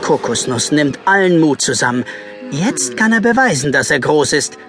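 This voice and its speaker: A man's voice: a little high, very animated, moderate pace, a bit bright. A man narrating a children's audio story in a suspenseful, dramatic manner. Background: music.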